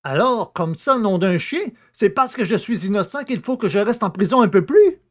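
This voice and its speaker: Blaireau, grumbling animated prisoner played by an actor. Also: male voice, high-pitched, normal speed. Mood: indignant. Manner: folksy, expressive, grumbling. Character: plain-spoken, indignant male poacher prisoner, grumbling and naive. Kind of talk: French audio drama acting.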